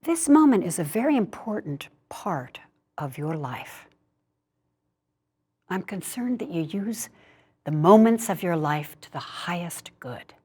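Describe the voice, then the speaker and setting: An actress playing an earnest, reflective senior woman, speaking deliberately in an expressive dramatic monologue. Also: fairly low pitch, breathy edge, heavily acted. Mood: earnest, impassioned. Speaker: actor reading seniors' writing.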